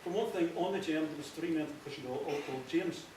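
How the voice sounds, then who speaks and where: A man with a Northern Irish accent giving an informal, earnest speech, often halting and repeating himself. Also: a little high. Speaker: playwright giving a thank-you speech. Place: echoey indoor hall before an audience. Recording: very echoey, distant, noisy with clicks.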